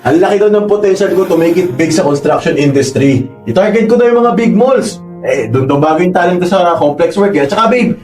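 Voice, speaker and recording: A young Filipino college boyfriend in a radio drama, with a high-pitched voice over music. He is affectionate and emotional, with a pleading way of talking. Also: lively intonation, brisk, a little mellow. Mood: excited, chatty. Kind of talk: Tagalog radio drama acting. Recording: music behind the voice.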